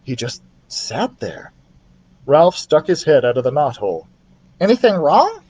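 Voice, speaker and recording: A man reading a children's book aloud as a library storyteller, in an expressive style. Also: mid-range voice, unhurried, husky.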